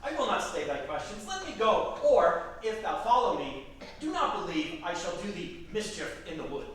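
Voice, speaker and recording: A man playing a harsh, exasperated young man in a forceful, theatrical staged reading of Shakespearean verse. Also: high-pitched, sing-song, normal speed. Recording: echoey room from far off, faint noise.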